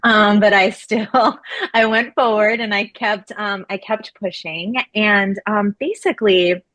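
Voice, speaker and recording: A woman's voice: medium pitch, fairly even intonation, unhurried, a bit bright. A woman in her forties from Florida, a laboratory medicine researcher talking casually as an interview guest, with many fillers and restarts. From Florida, USA. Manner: chatty, many fillers and restarts, laughs easily.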